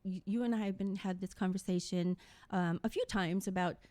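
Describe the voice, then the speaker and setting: A woman's voice: medium pitch, steady tone, fairly quick, darkish tone, no room sound. A woman hosting a casual live-stream interview, effusive and informal as she speaks directly to her viewers. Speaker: talk show host.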